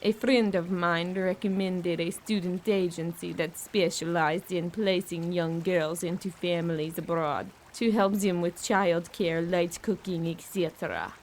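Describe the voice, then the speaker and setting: A woman's voice: fairly low pitch, steady tone, a little slow, few pauses, darkish tone, slight background noise, poor audio. A young woman acting a blunt, resentful au pair in an audio horror drama. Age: young adult. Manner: blunt, complaining.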